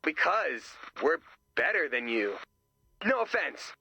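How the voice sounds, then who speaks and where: An actor playing a nervous, tactless young male camper who babbles anxiously, in an animated video game style. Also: on the high side, takes it slowly, breathy edge, no room sound, exaggerated voice. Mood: smug, awkward.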